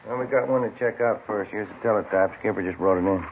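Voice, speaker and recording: An affable American police partner played by a man in 1950s radio drama. He chats quickly and casually. The old recording is narrow and noisy. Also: on the low side, natural voice. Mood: brisk, casual. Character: Ben Romero, brisk, affable male detective partner.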